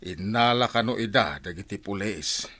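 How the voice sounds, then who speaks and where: A man's voice: fairly low pitch, normal speed, bright, forceful tone, dry recording. A man playing a mild middle-aged village husband and father, talking earnestly in an audio drama. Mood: concerned. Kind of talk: radio drama dialogue.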